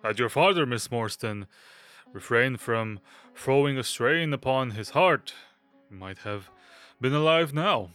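A man playing a fussy, nervous, hypochondriac young gentleman in an audio drama, stammering and obsequious. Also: mid-range voice, a little slow, clear, resonant tone, soft background music, lightly acted. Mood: anxious. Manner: stammering, fidgety, obsequious, nervous little laughs.

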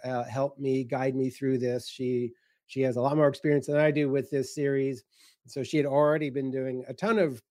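A man speaking off the cuff in a panel discussion as a guest editor, haltingly and with many broken-off starts. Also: medium pitch, warm tone, no room sound, studio quality. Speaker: guest editor of a science writing anthology.

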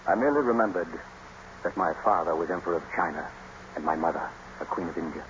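An actor playing a brooding, bitter, self-made gentleman with a sardonic, deliberate old radio drama delivery. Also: sits low. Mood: mocking. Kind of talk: 1940s-50s radio drama acting. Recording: noisy, dull old radio broadcast.

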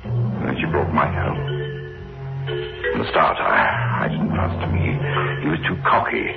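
An actor playing an anxious, protective middle-aged bachelor guardian in an old radio drama, with a hesitant, earnest, brooding delivery. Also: male voice, a bass voice, expressive, measured pace, gravelly, full character voice. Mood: brooding, confessional. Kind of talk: 1960s radio drama acting with confessional narration. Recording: dull old radio recording with music.

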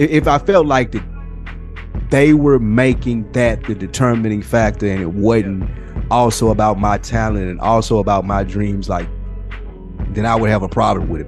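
A man's voice: fairly low pitch, a little mellow. An American man co-hosting a casual podcast, talking in slang and slipping into acted-out hypothetical quotes. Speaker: podcast co-host. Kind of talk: casual chat.

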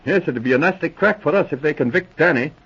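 An actor playing an eager, excitable police officer giving urgent reports in a 1940s radio drama. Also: male voice, on the high side, fast, breathy edge, full character voice. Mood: earnest reporting. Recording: muffled old radio recording.

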